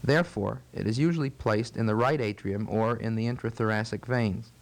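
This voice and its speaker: A man's voice: on the low side, a little fast. Man giving formal, precise medical explanations. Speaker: physician interviewed as expert. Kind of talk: measured medical teaching interview.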